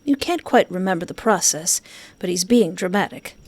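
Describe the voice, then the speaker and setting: A woman's voice: fairly low pitch, animated, moderate pace. A woman let's player reading game narration aloud, with playful, jokey casual commentary. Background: game music.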